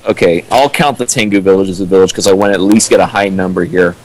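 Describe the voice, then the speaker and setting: A man's voice: low-pitched, quick pace, darkish tone, rough recording. A man joining a stream as co-host, chatting casually and hesitantly with lots of fillers. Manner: rambling, hesitant, with many fillers. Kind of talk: casual joking chat.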